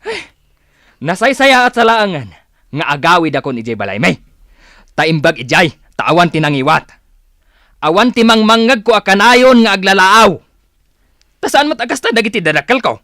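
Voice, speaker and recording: Bright-voiced, exasperated husband. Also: male voice, high voice, big pitch swings, normal speed, pauses often, dry recording, studio quality, exaggerated voice. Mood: fed up. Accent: Filipino. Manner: complaining, exasperated. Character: exasperated, embarrassed husband fed up with nagging wife. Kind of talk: comic radio drama acting.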